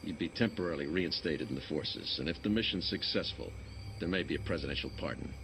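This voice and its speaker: An actor playing a stern, seasoned army colonel in dramatic, commanding action dialogue. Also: male voice, extremely low pitch, fast, noisy background. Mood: earnest, measured.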